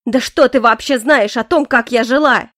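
A woman reading the lines of a proud, emotional young queen in an audiobook, earnest and pleading, quick to flare up. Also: fairly high pitch, steady tone, no echo, studio quality, lightly acted. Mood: heated.

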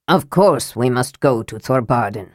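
A woman voicing a male knight possessed into a haughty dwarf prince, stern, impatient and commanding in a lowered voice. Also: expressive, measured pace, a little mellow, no echo, clean studio sound, exaggerated voice.

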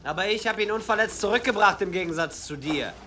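A man's voice: sits high, animated, quick pace, pushed and bright, a little hiss or hum. A man playing a defiant young pilgrim in a radio play, pointed and confrontational. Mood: accusing. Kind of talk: German radio play acting. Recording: faint pigeon ambience.

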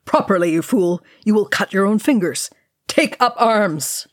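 A woman's voice: medium pitch, expressive, unhurried, dry recording, studio quality, close to an everyday voice. A woman doing a barking dwarf warrior. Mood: barking, impatient. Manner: barking orders. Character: gruff, commanding male dwarf warrior, impatient. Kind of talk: dramatic storybook character reading.

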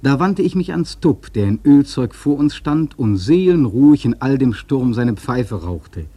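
An intense sailor telling of his perilous voyage in a radio play. His voice is husky and mellow, his delivery literary and emphatic. The sound is close and dry. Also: medium pitch, big pitch swings, a little slow, no room sound. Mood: wry, incredulous. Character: intense male sailor recounting a perilous whale hunt. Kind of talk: dramatic first-person radio play narration. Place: dry studio.

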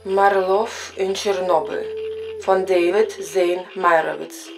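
A woman reading scripted radio drama credits in a measured, formal way, with music behind her. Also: lowish voice, fairly even intonation, creaky, rough recording. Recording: music bed under voice.

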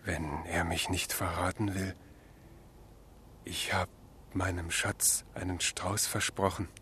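An actor playing a gentle, childlike madman in a radio drama, speaking in a wistful, wandering way. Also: deep voice, level tone, slight background noise, heavily acted. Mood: searching, plaintive. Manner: slow, wistful, simple-minded, wandering. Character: gentle mad young man, dreamy, childlike, melancholy. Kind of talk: German literary radio drama acting.

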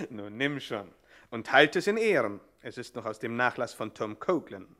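Smug, animated gangster boss. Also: male voice, mid-range voice, measured pace. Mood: smug, indulgent. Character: gangster boss, smug sugar daddy, curt and ruthless. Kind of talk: 1960s German radio comedy acting.

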